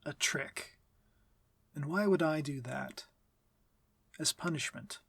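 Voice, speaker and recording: A close, dry, husky voice with a bright edge: a courtly vampire master played by a man. He speaks in formal, measured phrases, aloof yet civil, in a fantasy ASMR audio drama. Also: on the high side, no echo, full character voice. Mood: cool, stern. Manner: formal, measured, commanding diction. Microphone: close, dry microphone.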